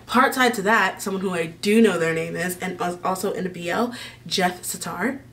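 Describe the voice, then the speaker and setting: Low-pitched gushing American woman. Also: moderate pace, distant mic, over music. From Maryland, United States. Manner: gushing, rambling. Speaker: vlog host. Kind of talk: casual chat to camera. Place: small decorated room indoors.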